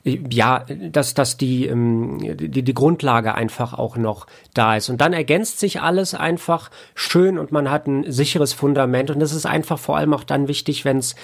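Gravelly-voiced man chatting in an interview. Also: medium pitch, normal speed, few pauses. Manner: rambling, colloquial, circles back and rephrases. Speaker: interview guest, audio engineer and audiobook editor. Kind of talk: casual explanatory interview talk.

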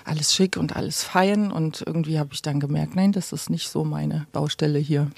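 A woman in her late forties from eastern Germany speaks in a conversational, storytelling way. Her voice has plenty of fry and creak. The sound is dry and free of room echo. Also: low voice, fairly even intonation, normal speed, darkish tone. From Gera, eastern Germany. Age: late 40s. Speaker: podcast guest. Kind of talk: conversational storytelling about own life.

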